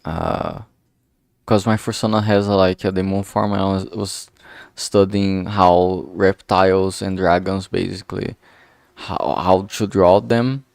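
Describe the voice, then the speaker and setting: Low, gravelly man streaming casually. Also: expressive, unhurried, a little mellow, no echo, clean studio sound. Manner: informal, sweary, self-deprecating. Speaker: streamer making a visual novel. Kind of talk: casual rambling art stream commentary.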